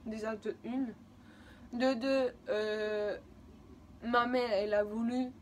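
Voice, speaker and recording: Woman, twenties, Spanish accent, native Spanish speaker, rambling emotional storytelling, higher voice, faint noise. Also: steady tone, slow. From Spain. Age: 20s. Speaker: vlogger. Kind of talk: personal storytelling to camera.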